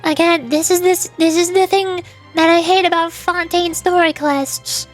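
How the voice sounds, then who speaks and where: A high-voiced woman streamer in casual commentary mode. She rambles with exasperation, with game music faintly underneath. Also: steady tone, measured pace. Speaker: streamer playing and voicing game characters.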